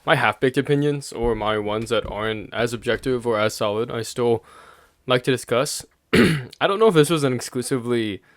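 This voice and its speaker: A man's voice: medium pitch, moderate pace, dry recording, studio quality. A young man from the United States giving a casual, rambling opinion monologue, thinking aloud and chuckling often mid-sentence. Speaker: vlogger sharing opinions.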